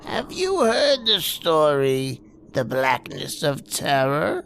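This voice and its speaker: A creepy old hermit hosts a radio horror tale. The delivery is extremely drawn out and swings wildly in pitch, gleeful and commanding, with faint noise behind it. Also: female voice, wildly swooping pitch, takes it slowly, a bit bright, heavily acted. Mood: gleefully sinister. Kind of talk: old-time radio horror host acting.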